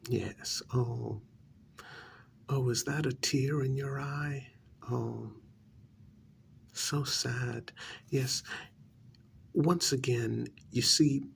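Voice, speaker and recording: A man playing a cruel, haughty vampire aristocrat in an ASMR role-play, speaking in a gravelly, mock-sympathetic drawl that often drops to a whisper. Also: medium pitch, fairly even intonation, very slow, long pauses, a little hiss or hum, heavily acted. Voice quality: gravelly, creaky. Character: cruel, haughty male high-society vampire taunting a captive. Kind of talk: ASMR role-play, intimate dramatic monologue.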